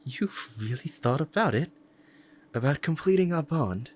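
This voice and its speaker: A man's voice: a little high, animated, a little slow, a little mellow. A man playing a powerful demon devoted to a beloved master, speaking formally and earnestly in a romantic ASMR roleplay. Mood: astonished, eager yearning. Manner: formal archaic diction, earnest and reverent.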